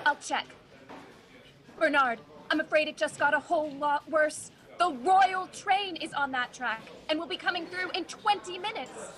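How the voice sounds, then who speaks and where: A woman's voice: high voice, animated, moderate pace, a bit bright. A teenage girl playing an earnest, dutiful railway control operator in a school radio play. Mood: grave, helpful.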